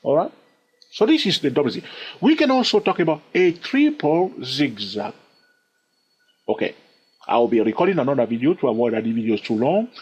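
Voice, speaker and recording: A man teaching a chart lesson on trading, constantly checking in with the listener with quick questions. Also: mid-range voice, breathy edge. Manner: animated, keeps asking the listener "yes or no?" and "okay?". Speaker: trading instructor. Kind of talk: screen-recorded chart lesson. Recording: dull lo-fi recording.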